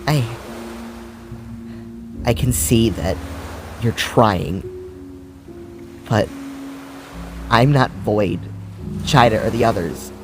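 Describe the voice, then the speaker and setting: A man's voice: lowish voice, over music. Man voicing a bitter, strained, wounded daughter. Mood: strained sorrow. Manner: strained, halting, sarcastic when angered. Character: wounded grown daughter, bitter, weary, guarded.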